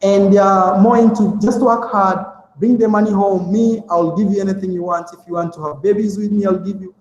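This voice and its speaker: A man's voice: sits high, fairly even intonation, brisk, darkish tone, dull audio. A young man, a guest telling stories in a casual interview, rambling with lots of fillers and restarts. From Kenya. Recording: echoey room with long reverb.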